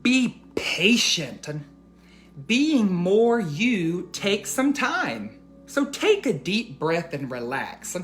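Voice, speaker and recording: A male educator speaks to young children as in a bedtime read-aloud. His phrasing is deliberate and emphatic, aimed straight at the young listeners. Faint music sits behind his voice. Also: high-pitched, sing-song, a bit bright.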